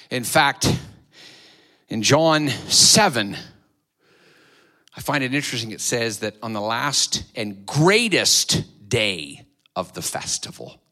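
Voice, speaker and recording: Pastor, male, slow bright delivery, loud preaching voice that rises and falls. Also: mid-range voice. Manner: loud voice, preaching with swells and drops; sings hymn lines softly, repeats phrases. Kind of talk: sermon.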